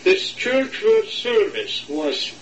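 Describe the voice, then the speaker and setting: Older Latvian-accented man, halting and distant. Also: high-pitched, expressive, roomy sound, over a noisy crowd. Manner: halting, searching for words, slight non-native grammar. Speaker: interviewee. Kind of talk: oral history interview.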